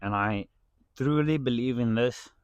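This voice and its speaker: A man whose first language is Afrikaans speaks in a casual, earnest tone with playful asides. His voice sits at an ordinary middle pitch. It is recorded close and dry, with no room sound. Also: unhurried, clean tone, no room sound, lo-fi sound. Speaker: podcast guest. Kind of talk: casual chat.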